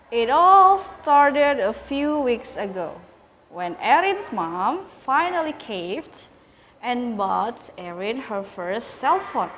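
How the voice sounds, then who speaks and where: A woman with an Indonesian accent reading a children's story aloud with deliberate, dramatic emphasis, as host of a school program. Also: fairly high pitch, animated, fairly bright tone, a little hiss or hum.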